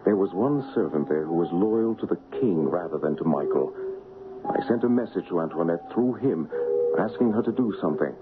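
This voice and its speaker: A man's voice: low voice, animated, a little fast. An actor playing a brave, courtly adventurer who poses as a king, composed and earnest in a radio drama. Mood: measured storytelling. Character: brave gallant adventurer posing as a king, devoted lover. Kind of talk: radio drama acting and first-person narration. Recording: dull 1970s radio broadcast, music behind.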